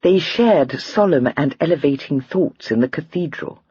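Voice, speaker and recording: A woman reading aloud, voicing an excitable, teasing young lady in a high, breathy, girlish voice. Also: normal speed, no echo, dull audio, exaggerated voice. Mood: chattering.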